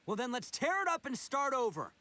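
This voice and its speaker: A man's voice: very high voice, big pitch swings, a little fast, bright, forceful tone, heavily acted. A man playing a brash, big-hearted young male oni gang leader in a video game, with cocky, slangy, earnest delivery. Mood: determined. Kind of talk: English video game voice acting.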